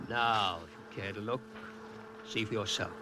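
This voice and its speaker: An actor playing a small, mild, sentimental inventor in a 1950s radio drama, deferential and measured but quietly firm. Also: male voice, fairly low pitch, natural voice. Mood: polite, controlled. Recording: noisy old 1950s radio recording.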